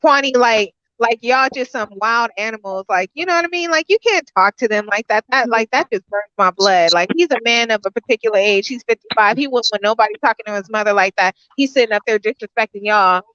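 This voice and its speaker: A woman's voice: on the high side, fairly quick, hardly any pauses, no room sound, highs cut off. Indignant, chatty woman on a call. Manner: animated, indignant, lots of "like" and "you know". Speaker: livestream guest. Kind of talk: casual livestream chat.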